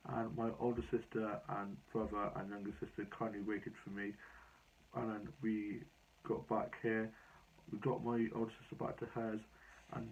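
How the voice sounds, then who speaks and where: A young British man talks to his vlog audience in a loose, anecdotal way. His voice is slightly hoarse and husky, with little rise and fall in pitch. The recording sounds dull and lo-fi. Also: a little mellow. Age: young adult. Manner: rambling, anecdotal. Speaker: vlogger. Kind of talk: casual personal vlog chat.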